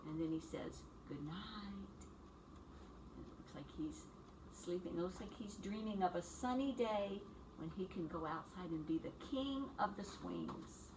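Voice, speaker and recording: A woman's voice: lowish voice, lively intonation, a little slow. A woman teacher doing a read-aloud for young children, talking to them in simple, encouraging phrases and questions. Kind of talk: read-aloud story time and instructions to small children. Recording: distant mic with clear background noise.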